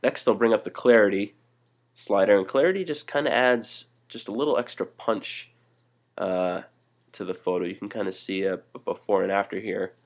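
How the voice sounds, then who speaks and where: A young man casually talking through photo editing steps in a screen-recorded tutorial, relaxed and full of "uh" fillers. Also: lowish voice, normal speed. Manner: relaxed, many "uh" fillers and restarts.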